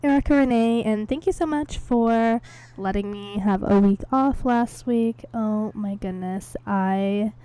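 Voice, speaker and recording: A young woman hosting a casual solo podcast, chatty and rambling, her voice hoarse from a cold. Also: mid-range voice, measured pace, warm tone, poor audio. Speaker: podcast host. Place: home, indoors.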